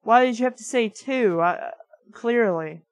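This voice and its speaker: A woman's voice: fairly high pitch, a little slow, dry recording. A woman reading a children's storybook aloud and breaking off with opinionated, nitpicking comments. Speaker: amateur reader and commentator of children's books.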